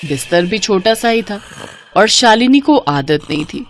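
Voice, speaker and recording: A woman's voice: mid-range voice, lively intonation, measured pace, a little hiss or hum. A woman narrating a scripted children's moral story as storyteller.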